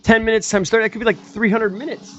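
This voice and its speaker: A man's voice: high voice, steady tone, fast talker, fairly bright tone, lo-fi sound. A man co-hosting a sports radio show, teasing his partners and asking lots of questions. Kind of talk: casual sports radio banter.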